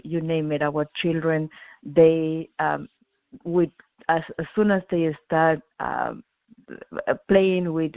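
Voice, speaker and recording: A woman's voice: low voice, no echo, dull audio. A middle-aged woman from Chile, an engineer and company founder, speaking as a radio interview guest in an earnest way, restarting often and repeating words.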